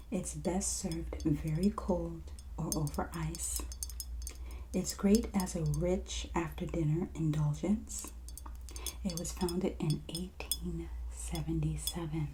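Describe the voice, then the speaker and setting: A woman with a low, mellow voice speaks very softly and breathily, often nearly whispering. She plays a merchant in an ASMR roleplay, presenting items gently and very slowly, close to the mic over faint background noise. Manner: slow, gentle, unhurried presenting. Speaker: ASMR host playing a wine and spirits merchant. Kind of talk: soft-spoken ASMR roleplay, product showcase.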